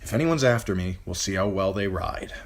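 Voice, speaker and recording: A man's voice: low voice, lively intonation, fairly quick. A man voicing a shrewd, sardonic nobleman who speaks dryly and with command. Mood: wary. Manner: dry, sardonic.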